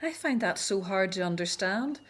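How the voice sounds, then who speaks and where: A woman's voice: mid-range voice, expressive, moderate pace, natural voice. A woman acting in a radio drama as a caring, anxious, devout Hebrew elder sister, speaking earnestly and expressively. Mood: troubled, devout.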